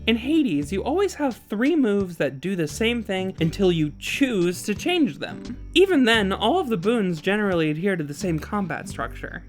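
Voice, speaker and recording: Animated woman narrating a video essay over music. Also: mid-range voice. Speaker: host of a video essay channel.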